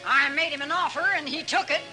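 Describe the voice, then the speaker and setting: An actress playing a brash, domineering middle-aged saloon owner with a big, harsh voice and an American Western drawl, in 1950s radio western style. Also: female voice, high-pitched, fast talker, close to an everyday voice. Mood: brash, folksy. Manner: blunt, bossy, folksy. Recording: old radio recording with music.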